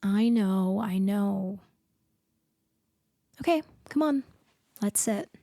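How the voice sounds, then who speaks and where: A woman plays a blunt, caring female best friend in an intimate comfort roleplay. Her medium-pitched voice is conversational and unhurried in feel, with small hesitant pauses. The sound is close and very dry. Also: expressive, dark tone, no echo. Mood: teasing, blunt. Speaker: audio roleplay performer. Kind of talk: intimate roleplay ASMR, casual one-sided conversation.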